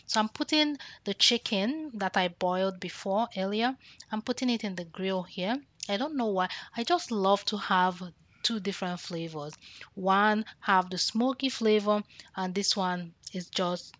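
A woman's voice: medium pitch, fairly bright tone, no room sound. A Nigerian woman vlogging as she cooks, chatting to her viewers and repeating words for emphasis. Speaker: cooking vlogger. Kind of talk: casual cook-along chat.

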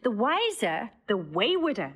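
A woman's voice: fairly high pitch, huge pitch swings, a bit bright, slightly rough, full character voice. A woman acting a witty young woman in love, teasing and playful in a stage drama. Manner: playful, quick-witted, teasing. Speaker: actor playing Rosalind.

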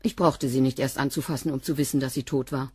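A woman's voice: extremely low pitch, fairly even intonation, quick pace, a little mellow, husky, dry recording, studio quality, heavily acted. An actress playing a curt, unfazed cleaning woman who answers bluntly and laconically in a radio crime drama. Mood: curt, defensive.

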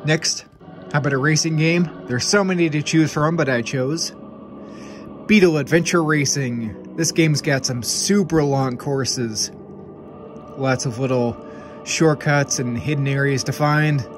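Stuffed-up man chatting over music. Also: fairly high pitch. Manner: upbeat, chatty, quick asides. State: stuffed up with nasal congestion. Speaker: gaming channel host. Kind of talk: casual game recommendations to camera. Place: room with game shelves, indoors.

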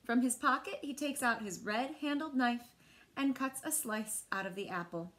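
A woman in her thirties with an American accent, reading a picture book aloud to children with expressive, clearly phrased delivery. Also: on the high side, normal speed. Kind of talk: children's read-aloud storytelling. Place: small indoor room.

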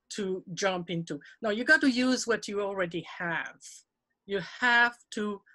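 A woman's voice: mid-range voice, pushed and bright. A woman from France in her sixties with a French accent, a retired teacher chatting encouragingly through a video-call workshop. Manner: chatty, encouraging, laughs often. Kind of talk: professional development webinar talk.

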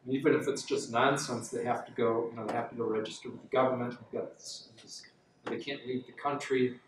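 A man's voice: medium pitch, fairly even intonation, a little fast, a little hiss or hum. A middle-aged man, a journalist, answering audience questions in a rambling way with many fillers and restarts. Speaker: guest speaker, journalist. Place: university classroom. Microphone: far camera, no mic. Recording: echoey classroom from far off.

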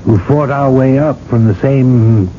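An actor playing a dignified, patient older cardinal in a radio drama, speaking in a courteous, measured, gently ironic way. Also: male voice, mid-range voice, natural voice. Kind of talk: 1980s radio drama acting. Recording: muffled, noisy old radio broadcast.

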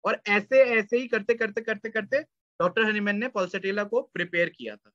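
Man, 30s, online lecturer; high voice, reads quotes slowly; dry lo-fi webcam sound. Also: bright, forceful tone, no echo. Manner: explains in Hindi, reads English passages slowly. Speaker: homeopathy lecturer. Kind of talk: online lecture explaining slides. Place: indoor room, webcam lecture. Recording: dull, lo-fi sound.

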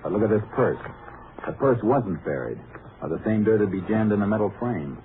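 An actor playing a shrewd, matter-of-fact Texas Ranger detective in a clipped 1950s radio drama style. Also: male voice, fairly low pitch, animated, a little fast, a little hiss or hum, lightly acted. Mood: urgent, sure. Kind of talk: 1950s radio drama acting, narration and dialogue. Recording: muffled 1950s radio recording, music.

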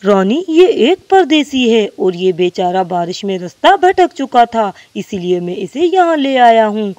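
A kindly male bird and father in a cartoon , voiced by a woman. He is trusting and speaks in a gentle, reassuring way. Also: a little high, big pitch swings, a little slow.

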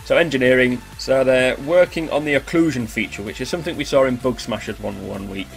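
A man's voice: medium pitch, fairly quick, vocal fry, soft background music. A man with a blocked-up cold, chatting casually through a weekly roundup of game news as the show's host, rambling with fillers and restarts. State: congested with a cold.

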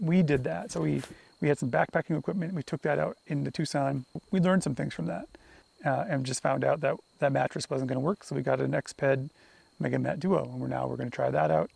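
Gravelly, husky man vlogging outdoors. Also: mid-range voice. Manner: relaxed, rambling with fillers and restarts. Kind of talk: casual talk to camera. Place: outdoor campsite among pine trees. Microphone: camera held at arm's length.

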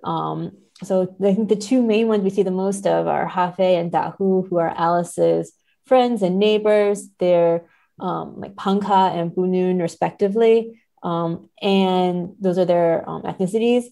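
Low-pitched, creaky-voiced woman. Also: steady tone, measured pace, mellow. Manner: analytical, explanatory. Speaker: podcast guest, literature scholar. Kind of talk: thoughtful interview discussion.

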